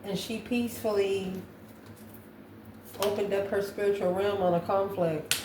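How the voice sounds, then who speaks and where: A woman reads tarot cards for her audience, thinking aloud in short, halting phrases. Her mid-pitched voice comes from a little way off the mic in a roomy, echoing space. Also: fairly even intonation. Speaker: tarot reader, host. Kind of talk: intuitive tarot card reading, talking to viewers. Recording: roomy echo, distant mic, background noise.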